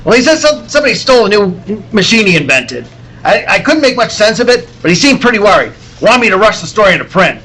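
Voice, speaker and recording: A man acting as a blustering newspaper editor in a radio serial. The voice is high and bright and swings up and down with agitation. He barks out orders and trips over words when rattled. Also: big pitch swings, quick pace, breathy edge, heavily acted, low-quality recording. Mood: brisk, commanding. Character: gruff, excitable newspaper editor, an agitated boss.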